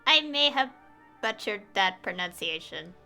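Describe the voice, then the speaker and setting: Woman, streamer, slow talker, casual giggly chat, faint game music. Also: mid-range voice, big pitch swings, bright, forceful tone. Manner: casual, giggly, hesitant fillers. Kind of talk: casual gameplay commentary and reading dialogue.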